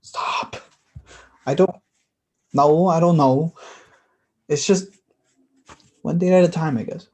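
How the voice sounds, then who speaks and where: A man's voice: a little high, a little mellow. A man acting in a play reading as an anxious, tender, slightly tipsy man who stammers and hesitates. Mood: bashful. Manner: halting, stammering.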